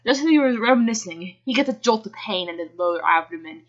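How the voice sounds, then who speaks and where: A woman reads fan fiction aloud in an amateur dramatic style, with casual asides. Her voice sits at a middling pitch. The home recording is slightly dull and lo-fi. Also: expressive, fast talker. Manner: giggly, often breaks off to react. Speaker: reader.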